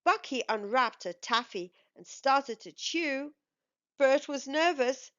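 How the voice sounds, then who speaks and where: Female storytime narrator for young kids: high register, slow and measured, expressive read-aloud, slightly dull recording. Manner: measured, animated storytelling delivery. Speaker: children's storytime channel host and narrator.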